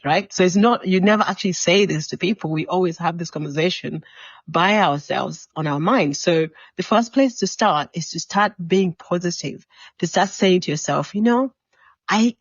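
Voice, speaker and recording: A woman's voice: fairly low pitch, breathy edge, no room sound, highs cut off. A woman from Uganda who works as a motivational speaker and coach, giving a live-streamed talk in a conversational, encouraging way and speaking straight to her listeners. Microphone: video call or livestream mic.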